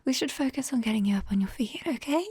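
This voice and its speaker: Breathy whispering British witch played by a woman. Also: high-pitched, lively intonation, quick pace, no echo, full character voice. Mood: defensive, sheepish. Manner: hesitant, coaxing, gently apologetic. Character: clumsy, caring young forest witch, sheepish and gentle. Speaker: ASMR roleplay performer. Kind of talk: whispered ASMR roleplay. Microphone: close binaural microphone.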